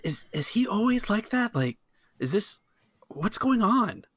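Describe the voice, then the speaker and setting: A man's voice: high voice, big pitch swings, a little slow, fairly bright tone, dry recording, clean studio sound, voice pushed up, exaggerated voice. A man voicing a timid, anxious young waiter who asks things in hesitant stammers. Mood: anxious, pleading.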